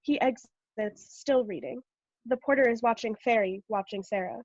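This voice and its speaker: A woman's voice: on the high side, moderate pace, a little mellow, dry recording. A woman giving scripted narration as the reader of stage directions in a play reading. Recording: dull video-call audio.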